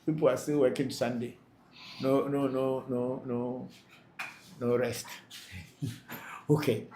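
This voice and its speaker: A man's voice: medium pitch, flat delivery, slow pace, dark tone. A man who is a Vodu priest hosting a live question-and-answer session, reading out questions and answering them plainly, often repeating himself for emphasis. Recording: echoey room, faint background noise.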